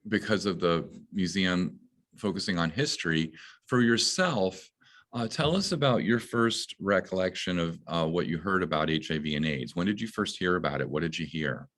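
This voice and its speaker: Male interview host, very deep voice, halting delivery with frequent fillers. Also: lively intonation, fairly bright tone. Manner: hesitant, many fillers. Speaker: interview host, museum education director. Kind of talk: hosted interview.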